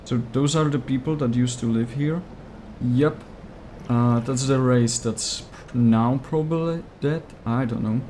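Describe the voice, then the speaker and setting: A man with a Czech accent whose native language is Czech, streaming a game live and chatting with viewers, often pausing to search for English words. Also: mid-range voice, mellow, slight background noise. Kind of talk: casual live gameplay stream, answering chat.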